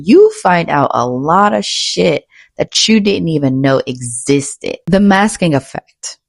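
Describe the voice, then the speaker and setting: A woman with a low voice and plenty of vocal fry delivers a frank personal monologue. She is blunt and emphatic, often restating a phrase in short bursts. The sound is close and dry. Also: no room sound. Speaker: vlogger and author. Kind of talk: candid personal monologue to camera.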